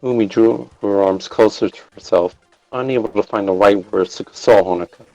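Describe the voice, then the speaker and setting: Low-voiced man narrating a story. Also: brisk, a little mellow, no room sound, soft background music, rough recording. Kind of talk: scripted narration of fan fiction.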